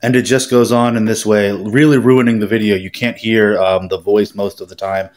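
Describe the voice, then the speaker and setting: A man's voice: lowish voice, flat delivery, normal speed. A man talking casually to his followers as a paranormal vlogger, in a hesitant, rambling way.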